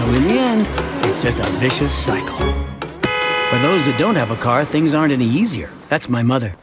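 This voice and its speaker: A man playing a chatty, wry middle-aged suburban family man who narrates his town's story in an animated short. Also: a little high. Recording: music behind the voice. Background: music.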